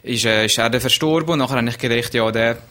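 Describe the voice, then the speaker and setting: Young Swiss German man, radio guest, halting and self-correcting. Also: mid-range voice, bright, forceful tone. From Switzerland. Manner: hesitant, many restarts. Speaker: interview guest. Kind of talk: casual radio interview.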